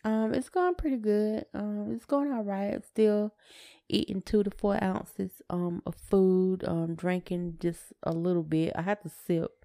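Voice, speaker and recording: A woman's voice: mid-range voice, fairly even intonation, measured pace, gravelly, warm tone. A woman co-hosting a podcast, talking casually and plainly, often repeating short phrases. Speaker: podcast co-host. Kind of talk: casual conversation.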